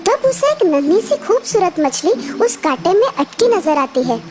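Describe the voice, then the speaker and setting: A woman's voice: very high voice, sing-song, moderate pace, a little mellow. A woman narrating a scripted children's story. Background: music.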